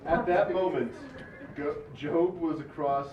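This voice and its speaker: A man's voice: fairly high pitch, steady tone, measured pace, a crowd in the background. A man reading narration and parts from a script at a live comedy table read. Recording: distant, echoey, muffled, noisy room.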